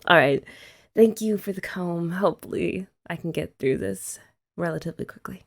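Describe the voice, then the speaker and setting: A woman's voice: fairly low pitch, normal speed, vocal fry. A young woman chatting playfully to a partner in an ASMR roleplay, teasing and laughing often. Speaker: ASMR creator. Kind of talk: scripted ASMR roleplay as a girlfriend.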